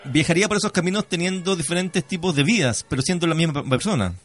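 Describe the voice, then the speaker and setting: A man's voice: fairly high pitch, fast talker. A man hosting a radio interview, politely prompting his guest and asking for clarification in a conversational way. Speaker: radio host. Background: music under the voice.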